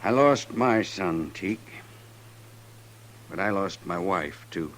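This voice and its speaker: An American man playing a blunt, greedy land grabber in an old-time radio western, speaking deliberately. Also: low voice, expressive, fairly bright tone, full character voice. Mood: grave, confessing. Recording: old radio recording with hum and hiss.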